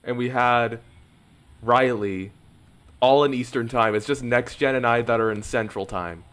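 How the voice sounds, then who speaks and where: A man's voice: medium pitch, measured pace, a bit bright. Young man hosting a laid-back reaction chat. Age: young adult. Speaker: reaction video host. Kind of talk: casual group reaction chat. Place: home room, seated in gaming chair. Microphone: headset and desk mic.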